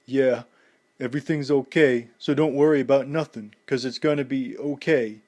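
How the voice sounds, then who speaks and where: A man's voice: medium pitch, animated, measured pace, vocal fry, husky, heavily acted. A man voicing a tearful, pleading young boxer. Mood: reassuring. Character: grieving young male boxer, tough and tender.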